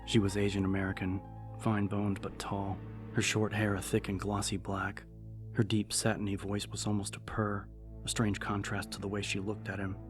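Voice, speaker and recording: A man with a deep voice narrates dramatic fiction. His delivery is measured and suspenseful, staying fairly flat in pitch. Faint music runs beneath the audiobook.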